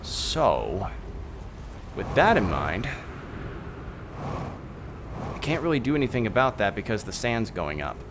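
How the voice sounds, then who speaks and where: Soft-spoken low-voiced man musing aloud. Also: sing-song, measured pace, pauses often, noisy background. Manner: thinking aloud, musing with hums. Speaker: streamer. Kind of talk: casual gameplay commentary.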